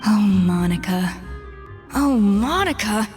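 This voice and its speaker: A woman playing a proud, exacting young head chef in a video game, brisk and haughty but gruffly kind underneath. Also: mid-range voice, expressive, darkish tone, long reverb tail, soft background music. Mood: indignant. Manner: brisk, haughty, exasperated sighs.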